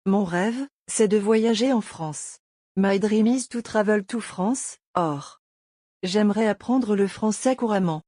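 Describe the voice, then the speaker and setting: Warm, mellow-voiced woman teaching. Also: mid-range voice, unhurried, no echo, clean studio sound. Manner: patient, step-by-step explaining. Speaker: language teacher. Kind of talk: scripted beginner lesson.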